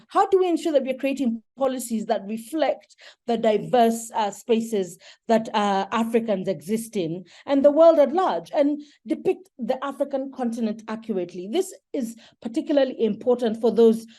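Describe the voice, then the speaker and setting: A woman presents research at an online webinar in a fairly high, soft-edged and mellow voice. She speaks articulately and persuasively, explaining her points as an invited guest would. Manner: articulate, persuasive, poses rhetorical questions.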